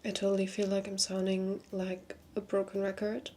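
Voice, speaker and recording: A young woman with a congested voice, talking candidly to camera from a small hotel room, sometimes dropping to a soft near-whisper. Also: mid-range voice, completely flat delivery, normal speed, music in the background. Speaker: vlogger, consultant. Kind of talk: casual talk to camera.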